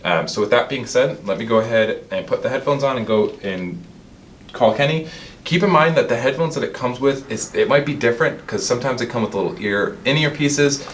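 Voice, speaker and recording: A man's voice: mid-range voice, expressive, a little fast, slight background noise. A man giving an informal, explanatory product demonstration as the presenter of a review.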